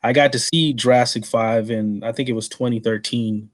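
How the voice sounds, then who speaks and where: A man's voice: medium pitch, steady tone, normal speed. A man in his forties from Texas with a mid-range voice, hosting an interview. He asks his questions in a friendly, eager way with plenty of casual slang. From San Antonio, Texas. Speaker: podcast host.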